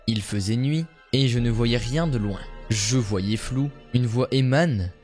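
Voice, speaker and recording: A man narrating paranormal stories in a steady, measured storytelling voice. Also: mid-range voice, faint music behind. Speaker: YouTuber.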